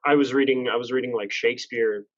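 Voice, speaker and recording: A man's voice: mid-range voice, fairly even intonation, quick pace, lo-fi sound. A man in his twenties from Canada, chatting as a podcast guest, rambling and correcting himself as he goes, laughing at himself now and then. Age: 20s. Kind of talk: casual chat.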